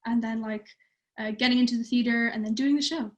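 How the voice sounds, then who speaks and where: A woman's voice: fairly high pitch, fairly even intonation, brisk, lo-fi sound. A teenage girl from Ireland, chatting casually on a livestream and using many fillers. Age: teens. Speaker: youth theatre participant.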